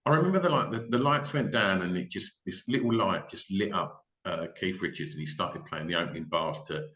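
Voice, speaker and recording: A man's voice: deep voice, a little fast, fairly bright tone, lo-fi sound. A man with an English accent hosting a podcast, chatting in an enthusiastic, informal way. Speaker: podcast host. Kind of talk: casual interview chat. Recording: echoey room sound.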